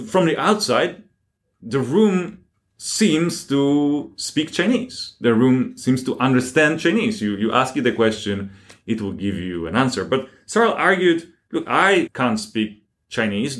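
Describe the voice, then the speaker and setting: A man speaking as an academic guest in an interview, explaining things deliberately and with emphasis. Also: mid-range voice, very animated.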